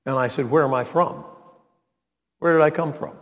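A man, preaching a sermon and reading scripture, deliberate and emphatic. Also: medium pitch. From North Carolina, US. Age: 90s. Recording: long reverb of a large hall.

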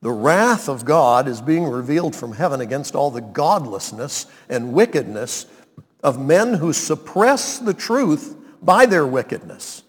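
A man, preaching a sermon and reading scripture, deliberate and emphatic. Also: on the high side. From North Carolina, US. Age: 90s. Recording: long reverb of a large hall.

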